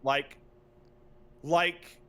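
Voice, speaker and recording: A man co-hosting a podcast, ranting casually about video games in an exasperated, animated, sweary way. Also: drawn-out words. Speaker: podcast co-host. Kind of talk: casual video game rant.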